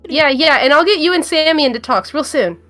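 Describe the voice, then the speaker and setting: A woman's voice: high voice, animated, brisk, sharp tone, faint music behind. A woman playing a naive, eager young female bounty hunter who gushes and hesitates in an improvised tabletop game. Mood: gushing, eager. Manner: gushing, hesitant, guileless.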